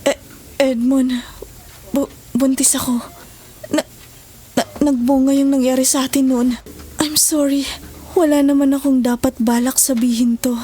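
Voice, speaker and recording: Woman acting a remorseful, higher-voiced Filipino mother. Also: little pitch movement, a little slow, pauses often, dark tone. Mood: guilty, hesitant. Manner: hesitant, apologetic, emotional. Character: gentle remorseful mother who gives up her baby. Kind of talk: Filipino audio drama acting. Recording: dramatic music bed behind the voice.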